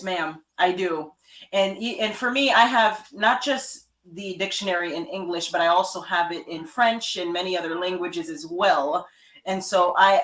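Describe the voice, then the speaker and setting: A woman giving an interview as a guest author and life coach, speaking in an animated, emphatic way over a video call. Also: on the low side. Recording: echoey room.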